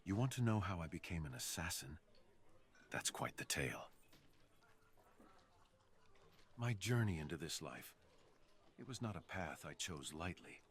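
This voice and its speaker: Low-voiced, seductive fox assassin played by a man. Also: very animated. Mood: sombre, wistful. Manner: flirtatious, earnest, dramatic pauses. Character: brooding, seductive male fox assassin, tipsy and smitten. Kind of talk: ASMR roleplay, slow intimate scripted monologue.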